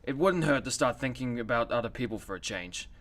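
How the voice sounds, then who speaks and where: A man's voice: medium pitch, lively intonation, fairly quick, a bit bright, no room sound. An actor playing a hard-drinking, boastful male demigod superhero in a radio comedy, blunt and jaded. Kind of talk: comedy radio play acting.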